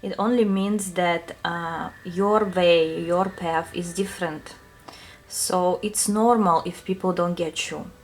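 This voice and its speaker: Soft-spoken woman with a Russian accent. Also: lowish voice, fairly even intonation, faint music behind. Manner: soft, unhurried, thoughtful, with many fillers. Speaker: tarot reader answering viewer questions. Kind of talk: casual talk to camera.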